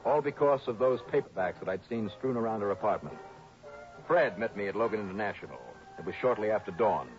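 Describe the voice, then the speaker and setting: An American actor playing a confident, hard-boiled insurance investigator in a 1960s radio drama, brisk and assertive. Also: male voice, fairly low pitch, soft background music, close to an everyday voice. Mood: dry, matter-of-fact. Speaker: lead actor of a radio detective series. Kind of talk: 1960s radio drama acting and first-person narration. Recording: muffled, noisy vintage radio recording.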